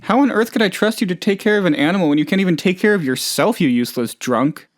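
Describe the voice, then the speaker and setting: A man's voice: sits high, expressive, quick pace, no echo, clean studio sound. A man playing a hapless, trusting, lonely oddball in a video game, talking in a rambling, hesitant, good-natured way. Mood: mocking quoted scolding. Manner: rambling, hesitant, naive, chatty. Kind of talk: video game character dialogue.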